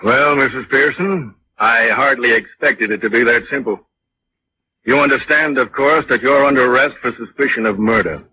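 An actor playing a stern, dry, relentless police inspector in 1940s radio drama, deliberate and interrogating. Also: male voice, medium pitch, close to an everyday voice. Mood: stern, accusing. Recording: muffled, noisy old radio recording.

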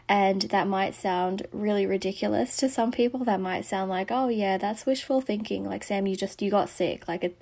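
A hoarse woman losing her voice, hosting a podcast with an earnest, encouraging motivational monologue that slips into a breathy whisper. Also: mid-range voice, a little mellow. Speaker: podcast host.

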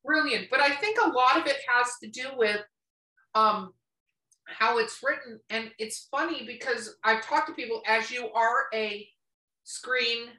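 Echoey, bright, high-voiced woman podcaster. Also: measured pace. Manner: blunt, emphatic, swears freely. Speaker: podcast co-host. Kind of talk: casual opinionated podcast chat. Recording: roomy echo, distant from mic.

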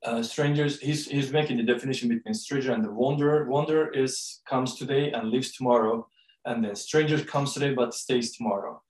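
A man's voice: medium pitch, darkish tone. Turkish-accented man, distant and roomy. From Turkey. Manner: halting, many fillers, searching for words. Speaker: guest, co-author and professor. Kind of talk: podcast interview.

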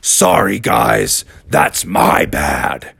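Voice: low-pitched, wildly swooping pitch, drawn-out words, creaky, fairly bright tone, slightly rough, no echo, voice pushed down, heavily acted. A storyteller voicing a huge, clumsy male T-Rex skater who makes short, laid-back apologies. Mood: apologetic.

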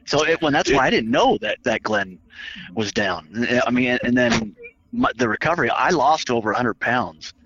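A man with a scratchy voice tells stories with a self-mocking streak. His pitch sits in the middle range for a man. The audio is dull and lo-fi. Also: expressive, moderate pace, fairly bright tone, breathy edge. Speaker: guest. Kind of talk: casual chat.

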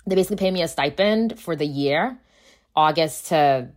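A woman's voice: sits low, animated, moderate pace, darkish tone. A woman, a native Akan speaker, talking casually in an interview as a school founder and acting out dialogue as she tells her story.

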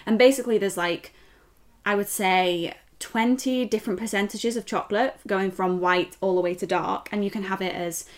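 A woman's voice: medium pitch, normal speed. Young woman, British accent, podcast co-host, casual chat, enthusiastic, effusive.

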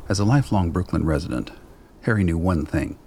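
Male narrator for a radio play: very low, creaky voice, measured dry delivery, literary storytelling. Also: animated, warm tone, slight background noise. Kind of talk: scripted radio drama narration.

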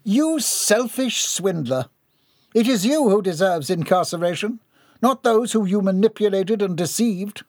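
A man's voice: high-pitched, lively intonation, unhurried, darkish tone, no room sound, studio quality, voice pushed up. A man voicing a stern, righteous man confronting a fraud in a read-aloud story, with a clipped, accusing delivery.

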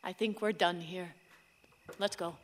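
A woman's voice: fairly low pitch, fairly even intonation, unhurried, a little hiss or hum, close to an everyday voice. Sorrowful ghostly young wife, played by a woman in reverb. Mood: tender, sorrowful. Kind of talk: stage-like radio play acting. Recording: reverberant hall-like room.

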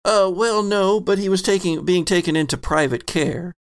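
Man as a female clinic receptionist, flustered, stammering, hesitant, lightly raised pitch, creaky, tabletop game character. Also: moderate pace. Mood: uneasy. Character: hesitant, flustered female clinic desk clerk guarding privacy.

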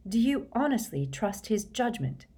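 A woman's voice: fairly high pitch, sing-song, normal speed, warm tone, slightly rough, voice pushed up. A woman voicing an anxious, thoughtful teenage schoolgirl who chooses words carefully and hesitantly in a storybook reading. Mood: careful, earnest.

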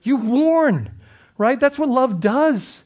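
A high-pitched man from the Bahamas delivers a sermon as pastor. He preaches in a loose, conversational way, restarting phrases and checking in with the congregation through rhetorical questions. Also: lively intonation, slow pace, darkish tone.

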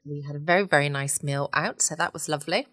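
Low-voiced woman, cosy podcast host. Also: quick pace, a bit bright, no echo, studio quality. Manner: cosy, chatty, self-questioning asides. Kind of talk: relaxed bedtime podcast chat.

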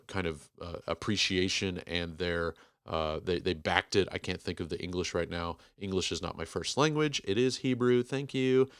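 A man in his forties hosting a podcast, giving a rambling, self-deprecating intro with emphatic, clearly enunciated speech. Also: low voice, no room sound, clean studio sound. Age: 40s. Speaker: podcast host. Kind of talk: casual podcast intro monologue.

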